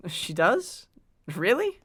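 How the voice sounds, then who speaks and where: A man's voice: high-pitched, huge pitch swings, slow pace, fairly bright tone, husky, dry recording, studio quality, exaggerated voice. An actor playing an earnest, polite and curious young boy who speaks a little hesitantly in a period audio drama. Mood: grateful, hopeful.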